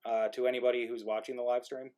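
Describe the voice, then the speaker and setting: A man hosting an online live stream in casual chat, thinking aloud in a halting way as he goes. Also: mid-range voice, flat delivery, dark tone, muffled recording. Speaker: host of an online live-streamed play reading. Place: remote group video stream.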